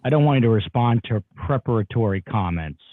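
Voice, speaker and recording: A man's voice: medium pitch, animated, a little fast, dry recording, close to an everyday voice. A man playing a firm, terse lawyer who gives clipped instructions to a witness in a deposition reading, heard through muffled audio. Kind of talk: dramatic reading of a legal deposition. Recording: dull, narrow-band, dry audio.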